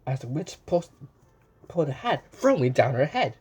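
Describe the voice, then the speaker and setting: A man reading a children's picture book aloud in an animated way, pausing to point things out and exclaim. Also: a little high, husky. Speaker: storyteller.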